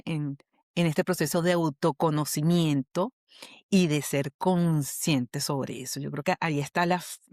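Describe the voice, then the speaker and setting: A woman's voice: sits low, no echo, clean studio sound. A woman with a Venezuelan accent, an HR specialist and coach, explaining a model as a podcast guest in a patient, teacherly way, often checking that the listener follows. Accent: Venezuelan Spanish.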